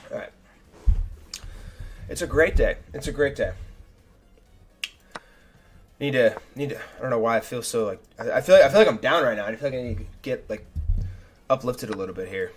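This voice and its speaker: A young man, a chess streamer, talking casually and self-critically through his own game, his voice a little raspy. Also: mid-range voice, lively intonation, normal speed, pauses often. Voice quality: slightly raspy. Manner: chatty and self-critical, thinking aloud move by move. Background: faint background music.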